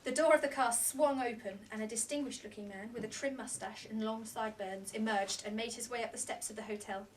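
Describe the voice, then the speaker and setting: A woman's voice: fairly high pitch, steady tone, a little fast, few pauses. A woman reading a novel aloud as scripted narration, at a book launch. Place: indoor bookshop launch party. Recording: distant mic in a noisy room.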